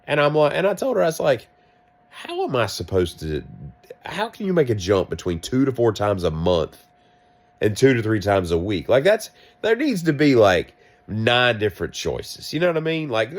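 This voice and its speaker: A man with a deep voice, sick and coughing, telling a rambling, wry story in a vlog. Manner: wry, self-mocking, meandering asides. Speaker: vlogger.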